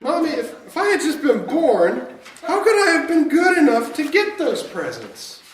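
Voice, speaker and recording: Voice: high-pitched, wildly swooping pitch, normal speed, a little hiss or hum. An adult performer voicing an innocent, easily upset little boy who asks questions in a radio comedy sketch. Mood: innocent worry. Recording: very echoey live room, distant mic.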